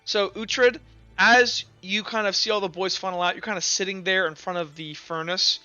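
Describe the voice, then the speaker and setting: A man's voice: sits high, fairly even intonation, moderate pace, bright, forceful tone, clear, resonant tone, no echo. A man casually narrating a tabletop game as game master, chatting informally with his players over a voice call. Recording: slightly dull online voice-call audio. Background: faint music.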